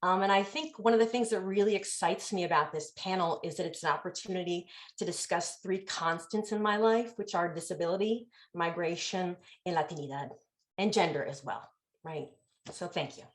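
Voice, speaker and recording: A woman's voice: on the low side, a little fast. Chatty, enthusiastic middle-aged woman. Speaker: panelist, professor. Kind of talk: panel self-introduction.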